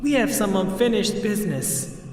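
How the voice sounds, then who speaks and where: A man's voice: high voice, animated, moderate pace, a little mellow. An actor playing a vengeful, taunting villain henchman with a menacing drawl in a video game cutscene. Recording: distant, echoing hall, faint noise.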